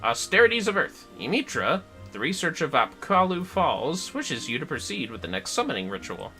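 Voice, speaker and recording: A man voicing an earnest, formal female scholar of summoning rituals, reading video game quest dialogue in a measured way. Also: fairly high pitch, sing-song, sharp tone, soft background music, exaggerated voice. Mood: solemn, confident.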